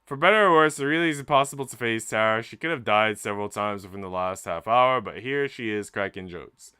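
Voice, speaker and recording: Male game streamer, clean smooth tone, dry sound, moving between story reading and informal chat. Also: on the low side, brisk, bright, forceful tone, dry recording. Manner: informal, slangy when chatting. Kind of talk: reading a visual novel aloud with casual chat.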